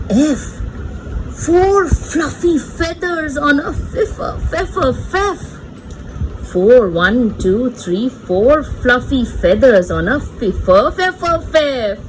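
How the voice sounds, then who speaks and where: Very high, animated woman reading to children. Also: takes it slowly, slight background noise, rough recording. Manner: sing-song, playful, teacherly, repeats words and points things out. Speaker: children's read-aloud presenter. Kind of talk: animated picture-book reading for small children.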